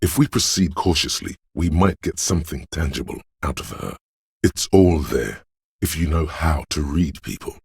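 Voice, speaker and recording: An actor voicing a slick, flashy hustler as a video game inner voice, with a very deep gravelly voice and a smooth-talking, theatrical, cocksure manner. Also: male voice, animated, moderate pace, no echo, clean studio sound, exaggerated voice. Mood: observant, measured.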